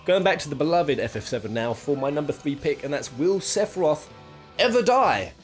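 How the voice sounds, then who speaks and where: A man's voice: on the high side, very animated, a little fast, faint music behind. A British man giving casual, jokey top-ten video game commentary, rambling and thinking aloud as he goes. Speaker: video game countdown host.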